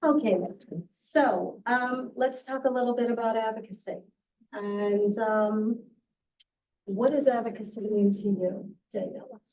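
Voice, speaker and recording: A woman moderating a panel in a relaxed, casual, playful way. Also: fairly high pitch, a little slow, distant mic, a little hiss or hum, rough recording.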